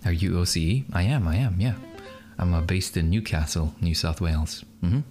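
Young man, streamer, low pitch, casual chat, laughs a lot. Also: moderate pace, a little mellow, faint music behind. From Malaysia. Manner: chatty, laughs often.

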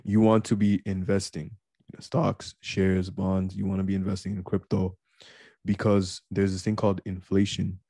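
Gravelly, monotone deep man. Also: moderate pace, no echo, studio quality. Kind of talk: casual podcast chat.

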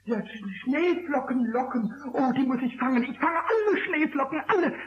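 Small male goblin, high voice, impish and excitable, stammers and rhymes; vintage muffled radio-drama sound. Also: normal speed. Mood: delighted, excited. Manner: exclaiming, stammering, sing-song rhyming. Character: excitable, mischievous little male kobold, childlike, rhyme-loving. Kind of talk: 1960s German radio play acting. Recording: dull, lo-fi 1960s radio recording.

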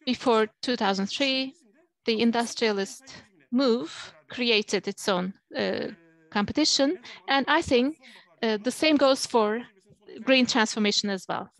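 Woman interpreter, fairly high voice, halting delivery with fillers, video call audio. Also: rough recording. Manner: hesitant, frequent fillers.

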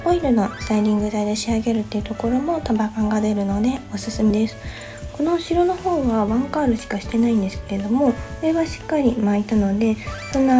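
Gentle young woman guiding a hair tutorial. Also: fairly high pitch. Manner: gentle step-by-step instruction. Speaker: hairstylist. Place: small bright studio indoors.

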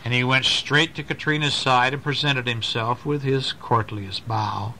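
A man reads a bedtime story to a young child in an expressive, mid-pitched voice. He pauses to explain and to check with little questions. It is a homemade recording with faint noise in the background. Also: gravelly. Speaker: parent reading a bedtime story. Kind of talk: storytelling read aloud to a small child, with asides. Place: at home, reading to a child. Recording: noisy amateur home recording.

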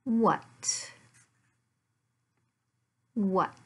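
Very slow-talking American woman teaching. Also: medium pitch, vocal fry, fairly bright tone, husky. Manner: deliberate, simple short phrases with pauses and repetition. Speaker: English teacher. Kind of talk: beginner language lesson, addressed to learners. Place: small furnished living room at home.

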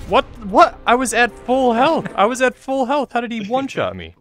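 A young man in casual gaming chat. His mid-pitched voice swings widely, and he talks in an excitable, profane way. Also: normal speed. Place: virtual reality game voice chat.

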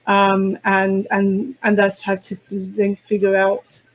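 Woman, native Italian speaker, very slow deliberate pace, halting and searching, video call panel talk. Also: medium pitch, monotone, rough recording. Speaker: poetry translator, panelist. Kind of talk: reflective panel discussion.

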